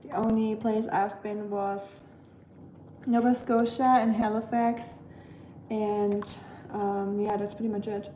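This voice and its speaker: A woman's voice: medium pitch, little pitch movement, slow pace, dark tone, slight background noise. A young woman with a German accent, chatting casually with her livestream while she cooks at home. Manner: chatty and friendly, lots of fillers, talks while working. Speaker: vlogger livestreaming. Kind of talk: casual chat reading live comments while cooking. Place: home kitchen, clattering pots and pans behind. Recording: echoey kitchen with clattering pots.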